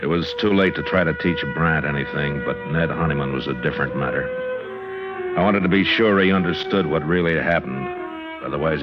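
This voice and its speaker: An actor playing a weary, stern frontier marshal in an old radio western, American accent, terse and dry. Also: male voice, rumbling low. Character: weary, stern male frontier marshal, narrating his own story. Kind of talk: old-time radio western acting and first-person narration. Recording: dull old radio recording with music.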